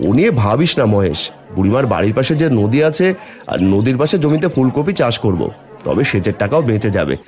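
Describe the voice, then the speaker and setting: A man voicing an honest, worried village family man in a cartoon, earnest and expressive. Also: medium pitch, brisk, lightly acted. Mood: determined. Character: honest, worried poor village husband and father. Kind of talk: cartoon voice acting.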